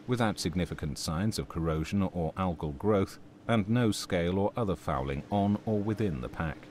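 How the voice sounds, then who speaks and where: Male narrator, low-pitched, expressive melody, measured delivery of scripted training film copy, slight background noise.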